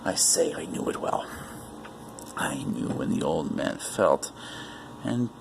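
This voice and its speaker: A man's voice: lively intonation, slow pace, exaggerated voice. A man performing a dramatic reading as an obsessive, nervous murderer in a gothic horror story, theatrical and intense. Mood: hushed, brooding. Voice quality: low, creaky. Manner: theatrical, breathy whispers swelling into agitated outbursts. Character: unhinged obsessive murderer confessing, nervous, furious, gloating. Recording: noisy amateur home recording.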